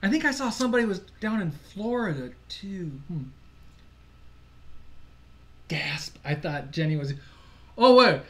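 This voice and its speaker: A man's voice: sits high, sing-song, unhurried, long pauses, a bit bright. A man from Michigan co-hosting a casual live stream, chatty, laughing easily and losing his place now and then. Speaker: livestream co-host.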